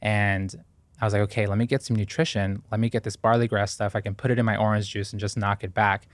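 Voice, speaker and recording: Man, low voice, earnest and reflective, dry close sound. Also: flat delivery, fast talker, no echo. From Ecuador. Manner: earnest, thoughtful, with frequent fillers and restarts. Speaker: guest. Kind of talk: reflective interview talk.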